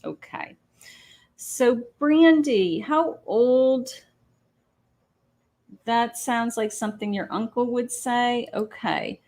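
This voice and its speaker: A woman hosting a live psychic reading from her patio, talking slowly with many pauses and fillers as she thinks aloud. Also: a little high, expressive, a little mellow. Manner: slow, hesitant, many ums, thinking aloud. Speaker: psychic medium host. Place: home patio.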